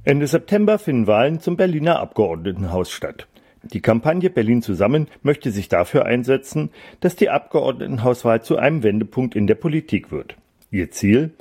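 A man hosting a radio magazine, reading a scripted intro and asking interview questions in a measured way. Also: mid-range voice, big pitch swings, darkish tone, slightly rough. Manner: measured, read-aloud delivery.

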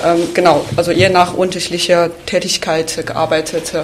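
A woman in her thirties from China, speaking German with a Chinese accent as a radio studio guest, pausing often with fillers and restarts. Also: fairly low pitch, level tone, a little hiss or hum. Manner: hesitant, many fillers and restarts. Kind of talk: interview answers about her career.

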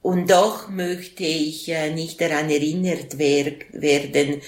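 A woman's voice: low-pitched, fairly even intonation, slow, reverberant. A woman from Switzerland, a psychotherapist and author talking in an interview, hesitant with many fillers and restarts. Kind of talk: conversational interview.

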